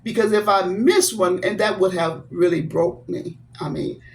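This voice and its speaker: A woman's voice: mid-range voice, normal speed, a little hiss or hum. A woman of seventy sharing a personal story from her childhood, speaking earnestly and encouraging listeners with blessings. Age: 70s. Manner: devout, blessing viewers. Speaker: vlogger.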